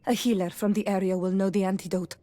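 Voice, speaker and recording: A woman's voice: mid-range voice, little pitch movement, fast, warm tone, natural voice. A woman playing a proud, sardonic native companion who knows the land, in a video game. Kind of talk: video game dialogue acting.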